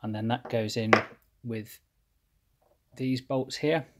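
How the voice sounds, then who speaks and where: A man calmly explaining his engine rebuild step by step while he works at a cluttered workshop bench. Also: mid-range voice. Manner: methodical, plain explanatory, pauses mid-phrase. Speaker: home mechanic rebuilding an engine. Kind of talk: hands-on workshop walkthrough.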